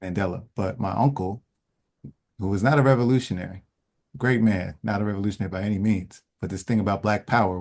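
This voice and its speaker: A man hosts a question-and-answer call in a low, gravelly voice. He talks in a relaxed, storytelling way and reads questions aloud. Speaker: host.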